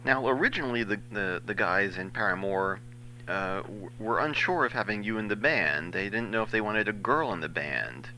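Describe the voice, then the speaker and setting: A man conducting an interview, asking brisk, polite questions. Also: on the low side, lively intonation, sharp tone, a little hiss or hum, poor audio. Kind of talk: radio-style interview.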